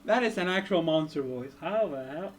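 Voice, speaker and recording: A woman chatting casually and excitably as she plays a game on a livestream. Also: low voice, moderate pace, heavy background noise. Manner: animated, excitable. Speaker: game streamer. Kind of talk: casual livestream chat while playing.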